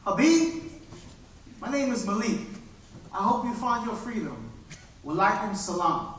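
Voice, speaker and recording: A man on a live stage playing a swaggering, streetwise 1940s Harlem hustler who talks in slang and bursts out shouting. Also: high-pitched, sing-song, measured pace, a little hiss or hum, full character voice. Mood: conspiratorial. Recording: echoey theater, heard from far off.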